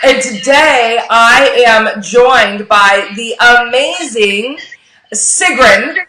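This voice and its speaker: A woman's voice: fairly high pitch, drawn-out words, reverberant, a crowd in the background, poor audio. A woman hosting a live show in an enthusiastic, informal and emphatic way, gushing as she talks. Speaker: host.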